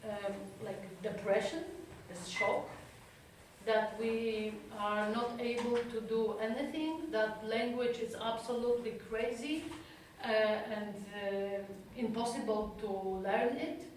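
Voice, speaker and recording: European woman therapist telling her story, slow, searching for words, lots of room echo, faint hiss behind. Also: on the high side. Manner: halting, searching for words in a second language. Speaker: psychotherapist giving a talk. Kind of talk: personal talk to an audience. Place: talk room at an institute. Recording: echoey room from a distant mic.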